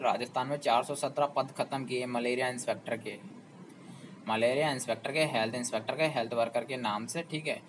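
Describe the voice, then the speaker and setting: A man of ordinary pitch talks students through a topic, persuading and pressing them with rhetorical questions. Faint background noise hangs under his voice. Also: low-quality recording. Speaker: job information channel host. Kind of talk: explanatory talk to students.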